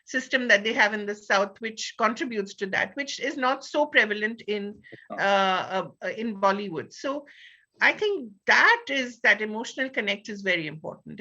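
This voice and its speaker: Bright, high-voiced Indian-accented woman panelist. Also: highs cut off. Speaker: panelist and author. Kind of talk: literary panel discussion.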